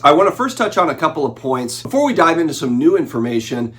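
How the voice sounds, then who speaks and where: A man's voice: fairly high pitch, big pitch swings, fast, fairly bright tone. A man in his forties, sick with the flu and a bit nasal, hosting a collectibles channel in a casual, rambling chat with fillers and restarts. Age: 40s. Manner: conversational, frequent fillers and restarts. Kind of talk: casual talking-head commentary.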